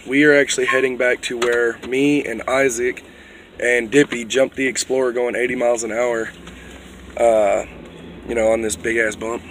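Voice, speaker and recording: A young man, vlogging loosely and profanely from inside a moving SUV, his voice worn and going hoarse. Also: mid-range voice, little pitch movement, normal speed, a bit bright, slight background noise. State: losing his voice. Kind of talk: casual car vlog. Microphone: phone held at arm's length.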